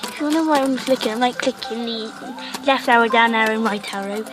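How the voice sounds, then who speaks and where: A woman hosting a casual game commentary, rambling with lots of fillers and a croaky voice from a cough. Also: on the high side, fast, background noise. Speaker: gaming channel host.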